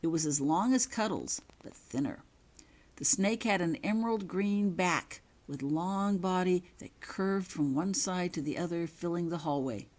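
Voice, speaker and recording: Low-pitched woman narrating a story. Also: measured pace, darkish tone. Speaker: author reading own story. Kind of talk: scripted dramatic story narration.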